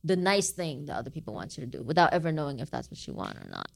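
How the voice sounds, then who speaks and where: A woman with a low-pitched voice talks as a guest in a casual, reflective conversation. She sounds thoughtful and informal, slipping easily into slang. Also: very animated, quick pace, creaky. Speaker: guest author. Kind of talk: casual reflective interview talk.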